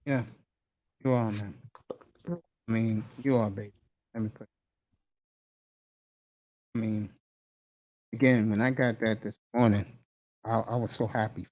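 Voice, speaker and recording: A man hosting a casual conversation, speaking earnestly and encouragingly with many pauses and fillers. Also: fairly low pitch, fairly even intonation, poor audio. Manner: warm, encouraging, hesitant fillers. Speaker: host.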